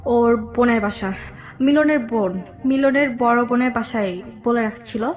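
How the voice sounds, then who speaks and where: A young woman with a Bangladeshi accent telling a personal story conversationally, haltingly, often circling back over details. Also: a little high, dull audio, soft background music. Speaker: guest telling her life story.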